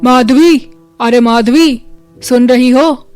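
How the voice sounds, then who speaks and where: A woman voicing a good-natured, hardworking village farmer husband in a children's story, with loud, emphatic delivery. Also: a little high, steady tone, measured pace, faint music behind, close to an everyday voice. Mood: calling out. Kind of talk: children's moral story dialogue.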